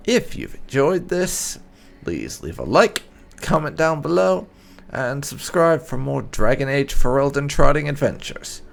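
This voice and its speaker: A man's voice: medium pitch, very animated, measured pace, vocal fry. A man hosting a gaming channel, giving casual let's play commentary.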